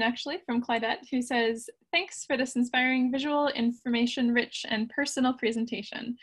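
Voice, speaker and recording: A woman's voice: fairly high pitch, fairly bright tone. A young woman moderating a library video-call Q&A, politely reading out audience questions. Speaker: library event host.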